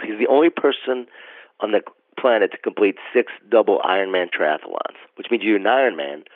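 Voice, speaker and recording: A man speaking as a motivational guest on a podcast, his voice scratchy and hoarse from allergies, delivering energetic, preachy encouragement. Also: no room sound, narrow, tinny audio. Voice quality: scratchy, deep from pollen allergy.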